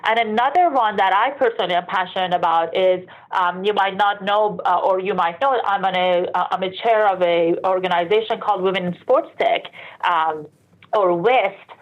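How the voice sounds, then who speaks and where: A woman's voice: mid-range voice, normal speed. A woman from Iran who later moved to Canada, answering interview questions casually and conversationally, with explanations, asides and self-corrections. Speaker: interview guest, sports industry professional. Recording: dull, lo-fi band-limited sound.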